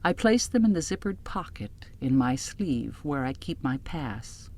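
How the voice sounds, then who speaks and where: Low-voiced woman narrating a literary audiobook. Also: very animated, a little slow, darkish tone. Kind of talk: scripted literary narration in first person.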